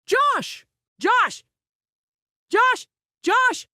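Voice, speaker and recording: Lady doing an eager little pet dog from a kids story, high and bouncy, super exclamatory. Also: animated, extremely slow, pushed and bright, dry recording, studio quality, heavily acted. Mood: overjoyed. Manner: exclamatory, eager, wide-eyed. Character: excitable, devoted little pet dog narrating his adventure.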